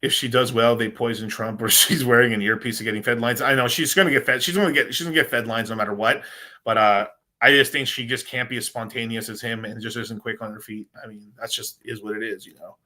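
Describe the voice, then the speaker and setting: Dude rambling on a crypto stream, bright voice, says bro constantly. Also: male voice, medium pitch, steady tone, fast talker. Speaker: crypto livestream host. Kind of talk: casual livestream chat.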